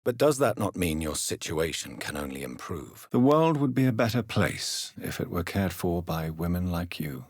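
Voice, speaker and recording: A man playing a grave, courteous gentleman doctor turned vampire in a video game, with an English accent and a formal, earnest, intense manner. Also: deep voice, sing-song, moderate pace, hardly any pauses, no echo, studio quality. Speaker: video game lead character voice. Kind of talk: dramatic video game acting.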